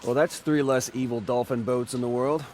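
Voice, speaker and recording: A man's voice: mid-range voice, steady tone, moderate pace, a little mellow, slight background noise, lightly acted. An actor playing a cocky, wisecracking young man with superpowers in a video game, talking in slangy, irreverent style. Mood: cocky, playful. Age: young adult.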